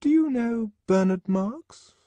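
A man's voice: mid-range voice, wildly swooping pitch, normal speed, a little mellow, slightly rough, dry recording, lo-fi sound, voice pushed up. A man voicing a breezy, casual young woman with a defiant, tender streak in an audiobook. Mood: forced casual. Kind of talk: audiobook character acting.

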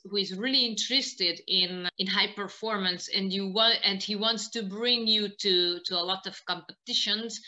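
A woman in her thirties with a Hungarian accent, answering interview questions in halting non-native English on a video call. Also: mid-range voice, pushed and bright. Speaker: interview guest, martial arts practitioner. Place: small living room indoors, on a video call.

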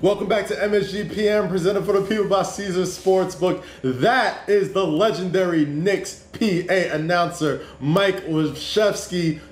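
A man's voice: fairly high pitch, slight background noise. A man in his thirties hosting a sports show in an upbeat style. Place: small room, indoors. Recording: roomy video call, faint music.

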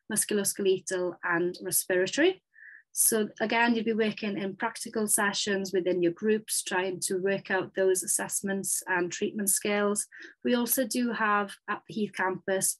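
A woman's voice: mid-range voice, rough recording. A young Welsh woman, a native Welsh speaker, talks through her studies in an upbeat, chatty way, with plenty of ums. She speaks into a webcam on a video call. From Wales. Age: 20s. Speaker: physiotherapy student. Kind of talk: presentation over slides.

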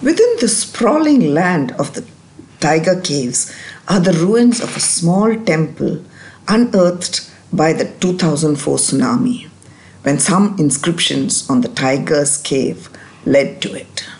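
A woman narrating a travel vlog voice-over in a measured, explanatory way. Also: sits low, expressive, darkish tone.

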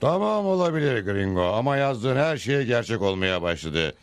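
A man's voice: mid-range voice, sing-song, normal speed, lightly acted. A man playing a vain, menacing Mexican bandit leader with a grandiose manner in a cartoon dub. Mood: boastful. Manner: grandiose, swaggering. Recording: loud music behind the voice.

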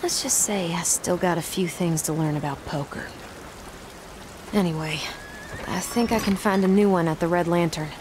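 Actress as bold, lowish-voiced frontier woman. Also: normal speed, noisy background, natural voice. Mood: wry. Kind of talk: video game cutscene acting.

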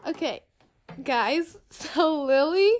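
A woman's voice: high voice, lively intonation, slow, heavy background noise. A teenage girl telling a story casually to a vlog camera.

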